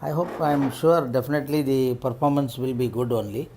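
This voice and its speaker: A middle-aged man with an Indian accent giving unscripted unboxing commentary as a vlogger, in halting, piecemeal phrases. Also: mid-range voice, steady tone, a little mellow, a little hiss or hum. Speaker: vlogger reviewing rider gear.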